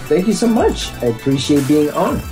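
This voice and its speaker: A man's voice: fairly high pitch, big pitch swings, normal speed, over music. A man in his thirties from the United States, a lawyer and entrepreneur talking through his background as a podcast guest, self-deprecating and prone to restarts. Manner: self-deprecating, laughs easily, rambling restarts. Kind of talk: relaxed conversational interview. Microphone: handheld microphone.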